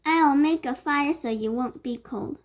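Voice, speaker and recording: A woman voicing a soft-hearted, troubled woodcutter father in a fairy tale, gentle and pleading. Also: sits high, a little slow. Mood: questioning.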